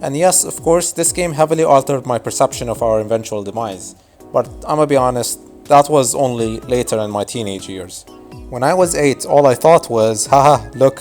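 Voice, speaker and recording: A man's voice: mid-range voice, a little fast, a little mellow, faint music behind. A man in his twenties whose first language is Arabic, narrating a scripted video essay in a casual, wry, nostalgic way. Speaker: YouTube narrator.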